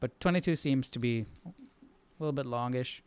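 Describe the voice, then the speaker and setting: Clear-voiced man casually hosting a livestream study. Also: mid-range voice, moderate pace, darkish tone, no room sound. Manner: informal, thinking aloud, often checking with "right?". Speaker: host of a live Bible study stream. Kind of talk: casual live commentary on scripture.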